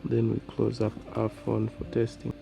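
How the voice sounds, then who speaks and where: A man presenting an unscripted repair demonstration, talking in halting, piecemeal phrases as he works. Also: fairly low pitch, monotone, vocal fry, over music. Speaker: phone repair tutorial presenter. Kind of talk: unscripted hands-on demonstration narration.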